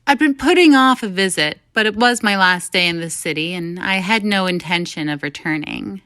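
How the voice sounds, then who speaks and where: A woman's voice: fairly low pitch, normal speed, a bit bright, dry recording, studio quality. A woman reading a first-person short story as scripted narration. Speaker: story reader.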